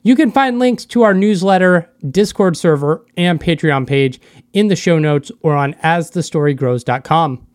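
Higher-voiced male podcast host. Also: normal speed. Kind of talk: podcast interview with scripted-sounding intro.

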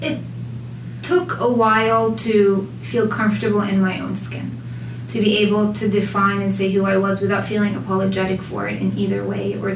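A woman in her thirties from Jerusalem, answering interview questions in a thoughtful, measured way and livening up when she quotes others. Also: mid-range voice. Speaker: guest, food writer. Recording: echoey room, distant mic, noisy.

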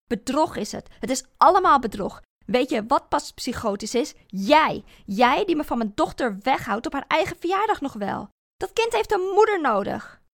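A woman's voice: very animated, normal speed, vocal fry, dry recording, studio quality, exaggerated voice. A woman voicing a frantic, desperate mother. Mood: furious. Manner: pleading, shrill, desperate. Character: frantic, unstable young mother clinging to her child. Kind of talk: audiobook character voice.

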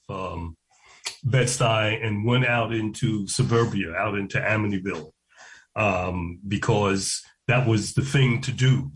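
Slow-speaking man in his seventies. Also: fairly low pitch. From New York. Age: 70s. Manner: slow, reflective, repeats words when restarting. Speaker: guest, education activist. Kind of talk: interview, personal recollection.

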